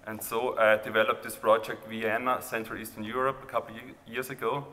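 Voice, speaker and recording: A man with an Austrian accent, a native German speaker, giving an informal, halting presentation of his own art project. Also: lowish voice, level tone, pushed and bright. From rural Austria near the Hungarian border. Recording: reverberant hall, background noise.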